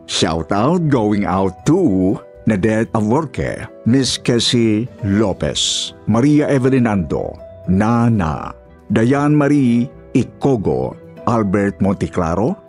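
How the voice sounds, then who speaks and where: A man hosting a story show, reading out shoutouts and listener comments. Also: fairly low pitch. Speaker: host.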